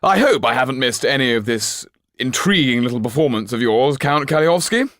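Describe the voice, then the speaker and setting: A man's voice: mid-range voice, lively intonation, moderate pace, a bit bright, dry recording, studio quality, pitched above the performer's own voice, natural voice. A man voicing a haughty, pompous nobleman in an affected manner. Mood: sneering amusement.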